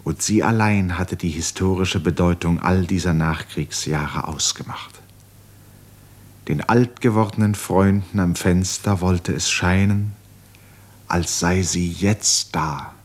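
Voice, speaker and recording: A man narrating a literary radio play in a contemplative, measured manner, letting phrases fall away softly. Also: deep voice, breathy edge.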